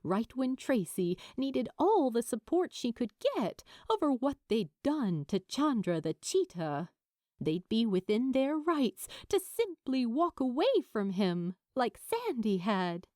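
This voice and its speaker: A woman reading fiction as an audiobook narrator, with expressive, deliberate, dramatic phrasing. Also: a little high, dry recording, clean studio sound.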